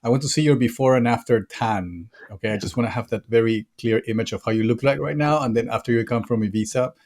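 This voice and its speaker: A man with a Peruvian accent, a podcast guest talking in a lively, playful way with many fillers. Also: medium pitch, fast. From Peru. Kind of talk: casual interview chat.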